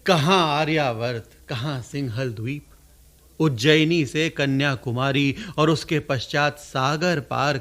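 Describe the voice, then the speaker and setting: An actor playing a wise, gentle old master poet in a Hindi radio drama, speaking in a measured, stately, affectionately commanding way. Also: male voice, mid-range voice. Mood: warm, reflective. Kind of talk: theatrical radio drama in formal Sanskritized Hindi.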